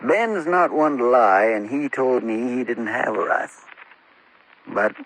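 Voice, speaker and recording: An actor playing a steady, plain-spoken small-town constable with a rural American accent in a vintage radio drama. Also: male voice, mid-range voice. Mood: reluctant, thoughtful. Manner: folksy, deliberate, plain-spoken. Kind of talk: golden-age radio acting. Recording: noisy, clicking old radio recording.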